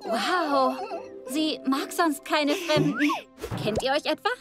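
A woman's voice: sits high, slow pace. A woman playing a sporty, driven, friendly young female athlete in a German-dubbed children's cartoon, speaking earnestly. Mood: friendly, confident. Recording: loud background music.

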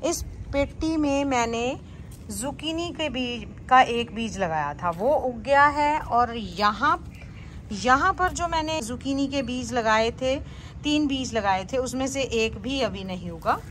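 A woman's voice: a little high, slow, a bit bright, a little hiss or hum. A woman casually narrating a garden tour as a vlogger.

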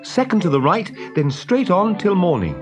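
Casting: adult narrator as impulsive proud boy for storybook, high and emphatic, very animated. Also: normal speed, highs cut off, music in the background, lightly acted. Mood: defiant. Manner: boastful, emphatic. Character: young boy adventurer, cocky, proud, impulsive.

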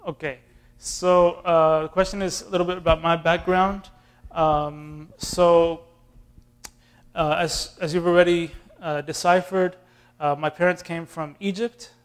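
A man in his thirties from Austin, Texas, an imam answering questions in a relaxed, classroom way with fillers and restarts, filmed by a far camera in a community center room. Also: fairly high pitch, unhurried, clear, resonant tone. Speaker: imam teaching an intro class.

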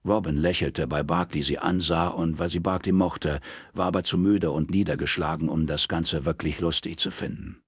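A man's voice: deep voice, fairly even intonation, normal speed, breathy edge, no room sound. A man narrating a crime novel as a scripted audiobook reading.

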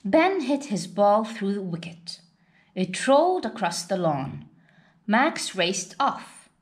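A woman reading a children's story aloud in an expressive, deliberate way. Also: medium pitch. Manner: unhurried, deliberate read-aloud delivery. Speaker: storybook reader.

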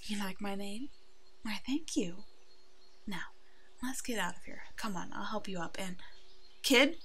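Woman doing a motherly werewolf alpha for asmr roleplay, soft n gentle, half whispery, protective, medium pitch. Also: expressive, a little slow, breathy edge. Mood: gentle, welcoming, then alarmed, worried. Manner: gentle, nurturing, soft-spoken, often slipping into whispers. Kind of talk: ASMR roleplay acting, tender and soothing.

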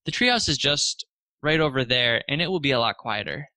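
Bright-voiced man reading a children's story. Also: mid-range voice, expressive, a little fast, no room sound. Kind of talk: read-aloud children's storybook narration.

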